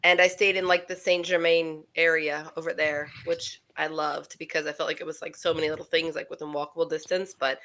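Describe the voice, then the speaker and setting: An American woman hosting a casual group chat over a video call, telling stories in a lively, animated way with frequent laughter. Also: low-pitched, a little fast, hardly any pauses. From United States. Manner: chatty, animated storyteller who laughs often. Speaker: host.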